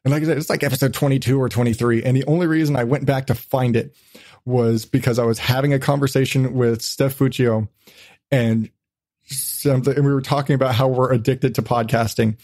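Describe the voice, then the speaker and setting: A man's voice: medium pitch, steady tone, brisk, darkish tone, no room sound, clean studio sound. A man hosting a podcast in casual chat, blunt, self-deprecating and swearing freely. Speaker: podcast host.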